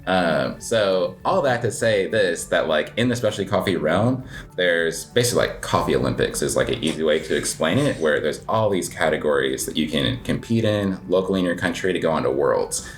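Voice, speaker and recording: A man's voice: sits low, fairly quick. A man, perhaps in his thirties, chatting casually as an interview guest about coffee, rambling with lots of filler words. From United States. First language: English. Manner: relaxed, rambling, heavy filler words, self-deprecating laughs. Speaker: guest, cafe owner and coffee competitor.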